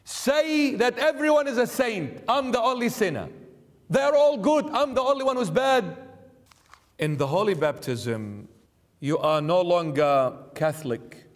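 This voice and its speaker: A middle-aged man preaching an emphatic sermon in a church, driving points home with repeated lists and rhetorical questions. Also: sits high. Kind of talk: sermon commentary. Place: church sanctuary, indoors. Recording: reverberant church hall.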